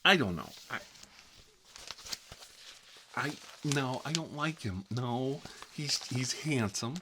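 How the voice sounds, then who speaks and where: A man's voice: medium pitch, animated, slow pace, background noise. A man playing a fussy, gossipy fellow who lives with his mother, rambling and repeating himself in an ASMR comedy role-play. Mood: coy, gossipy. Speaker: ASMR channel host. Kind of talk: ASMR comedy role-play, one-sided chat with a visitor.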